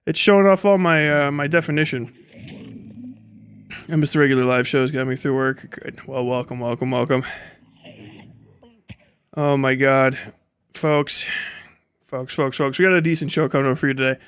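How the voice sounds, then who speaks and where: A man's voice: mid-range voice, fairly even intonation, moderate pace, pauses often, a bit bright, clean tone. A man hosting a casual livestream, chatting and ranting bluntly with frequent heavy swearing, close on the mic. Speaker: livestream host and content creator. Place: indoor home streaming setup. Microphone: close studio microphone.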